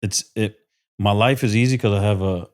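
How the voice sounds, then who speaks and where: A man's voice: fairly low pitch, fairly even intonation, brisk, darkish tone. A man from South Florida, a podcast guest, talking earnestly and emotionally with many fillers and restarts. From South Florida, USA. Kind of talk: casual interview talk.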